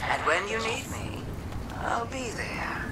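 A woman voicing a sly, taunting alien witch queen in a video game, with grand, drawn-out, mocking delivery. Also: on the high side, big pitch swings, heavy background noise. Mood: conspiratorial. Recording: loud music behind the voice.

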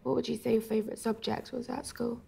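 A teenage girl hosting a casual interview, a bit hoarse from a sore throat, chatty and upbeat. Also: on the high side, flat delivery, fast, warm tone. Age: teens. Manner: chatty and upbeat, reacts with short exclamations. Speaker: host. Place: living room with bookshelves.

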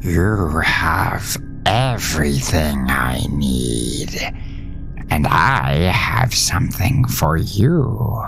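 A man acts out a small, maggot-faced demon from a horror audio story. The speech crawls along, hushed and drawn out, with an eerie tenderness that soothes and unsettles. Music plays clearly behind it. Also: extremely low pitch, sing-song, full character voice. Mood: eerie, coaxing. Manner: slow, drawn-out, hushed delivery.

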